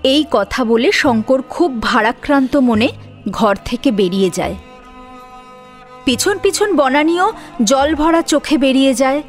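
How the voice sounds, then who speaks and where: Lady narrator, high pitched, dramatic audio story reading, light music under it. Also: animated, unhurried.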